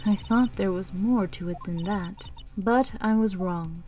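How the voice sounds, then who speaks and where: Calm, earnest female lawyer mentor woman acting, mellow and gentle, for a courtroom game. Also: mid-range voice, a little slow, soft background music, lightly acted. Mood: resigned. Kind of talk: fan-dub visual novel voice acting.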